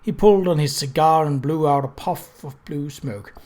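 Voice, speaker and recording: A man reads period fiction as an audiobook narrator. His voice sits slightly high, and he delivers the prose as scripted, dramatic storytelling. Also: normal speed, a little mellow. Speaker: audiobook reader.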